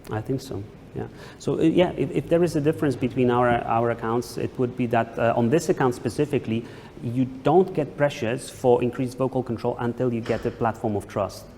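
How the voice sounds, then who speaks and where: A man's voice: medium pitch, fairly quick, hardly any pauses, a little mellow. A man answering questions as an academic presenter, explaining hesitantly and often checking with a tag question. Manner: hesitant, frequently checks with "right?". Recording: echoey hall, some background noise.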